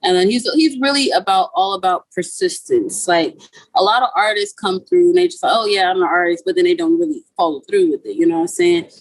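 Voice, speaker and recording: A woman's voice: on the low side, a little fast, dry recording, poor audio. A young woman from Guyana, a musician guest chatting casually on a video call interview, with a laid-back manner full of "like" and "you know".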